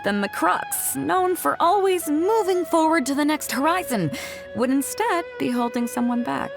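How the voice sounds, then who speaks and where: A woman's voice: high voice, lively intonation, normal speed, creaky. A woman playing a confident, level-headed pirate fleet captain in video game dialogue, with an assured, commanding manner. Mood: earnest, assured. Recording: background music under dialogue.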